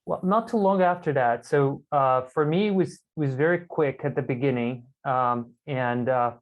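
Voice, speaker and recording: A man's voice: medium pitch, moderate pace, muffled recording. A man from Brazil, a podcast guest, telling his story in a candid, reflective and self-amused way over a video call. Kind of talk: casual storytelling conversation.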